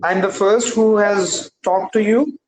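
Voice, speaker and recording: A man whose first language is Urdu practices English over a video call, in a slightly high voice. He speaks carefully, with frequent pauses and affirmations. The room around him sounds echoey. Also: steady tone, darkish tone. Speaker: English learner. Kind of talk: casual conversation practice. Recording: roomy video call audio.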